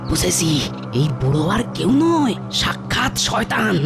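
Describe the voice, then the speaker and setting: A woman's voice: mid-range voice, sing-song, moderate pace, creaky. Woman as a poor sneaky blacksmith guy in a cartoon, super up-and-down folksy talk, music behind. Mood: wary muttering. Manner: rustic, exclamatory, self-muttering. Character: poor, crafty male village blacksmith, excitable and folksy. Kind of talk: animated cartoon dub.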